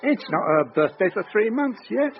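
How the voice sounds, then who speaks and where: A muffled, noisy radio drama recording of an elderly English father. His voice is creaky and fairly high for a man, and he chats homely and teasing, with dry little jokes. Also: very animated, moderate pace. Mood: playful, dry. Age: older. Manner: chatty, dryly joking. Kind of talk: naturalistic radio drama acting. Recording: dull lo-fi radio broadcast, clear noise.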